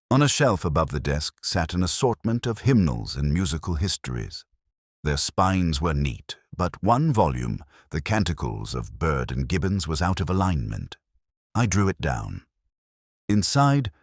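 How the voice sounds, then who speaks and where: A man playing a brooding police inspector who narrates a murder case in a measured, reflective, literary way. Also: very deep voice, slightly rough, no echo, clean studio sound, full character voice. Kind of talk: first-person scripted detective story narration.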